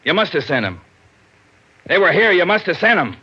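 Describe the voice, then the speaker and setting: An actor playing a gruff, bullying, easily flustered police inspector with an American accent, blunt and badgering in a 1940s radio crime drama. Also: male voice, high-pitched, very animated, normal speed, sharp tone, heavily acted. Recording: muffled vintage radio recording.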